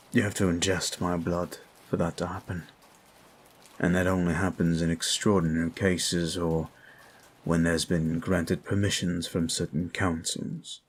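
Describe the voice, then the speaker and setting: Guy doing a really deep slow vampire, polite and protective, soft asmr roleplay style, some background ambience. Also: a little hiss or hum. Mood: calm, courteous. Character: calm, courteous young vampire man, protective and apologetic. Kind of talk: ASMR roleplay audio drama.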